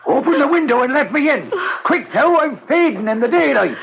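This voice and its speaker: A man's voice: extremely high pitch, sing-song, a little fast, vocal fry, full character voice. An actor playing a sly old Irish ghost uncle in a radio drama, wheedling and impatient, repeating his words. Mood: urgent, fussy. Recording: noisy dull old radio recording.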